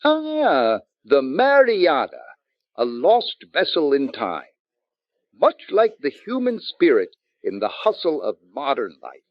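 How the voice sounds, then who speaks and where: An actor playing a boozy ex-doctor barfly in a radio detective drama, florid, rambling and wryly theatrical. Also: male voice, a little high, huge pitch swings, takes it slowly, no echo, lo-fi sound, exaggerated voice. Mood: grandiloquent, musing. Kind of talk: old-time radio comic-relief acting.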